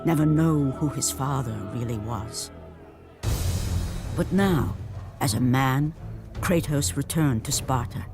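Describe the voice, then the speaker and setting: An actor playing a grave storyteller of ancient myth, narrating a video game with solemn, portentous weight and pauses. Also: male voice, mid-range voice. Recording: music behind the voice.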